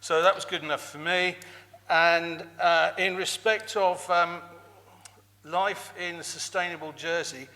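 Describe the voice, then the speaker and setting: A man's voice: a little high, sharp tone. A man giving a hustings speech as an election candidate in a formal, wordy manner. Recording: echoey hall with long reverb.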